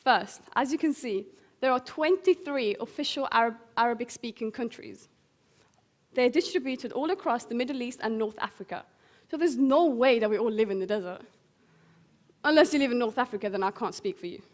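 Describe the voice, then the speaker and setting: A woman's voice: on the high side, brisk. A teenage young woman from Syria giving an earnest prepared public speech. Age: teens. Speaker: young guest speaker.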